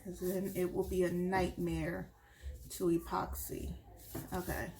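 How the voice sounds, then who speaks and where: A woman's voice: lowish voice, creaky, slight background noise, low-quality recording. A woman talking through a craft tutorial at home while she works, a bit sniffly from allergies. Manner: slow, relaxed, talks while working. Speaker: crafter showing a tumbler project.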